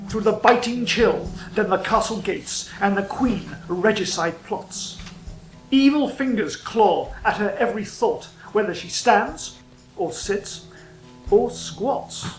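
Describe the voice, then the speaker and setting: An actor playing an earnest, self-pitying court poet in a comic audio drama, courtly and wordy. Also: male voice, high voice, animated, slow pace, reverberant, faint music behind, poor audio. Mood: solemn, poetic.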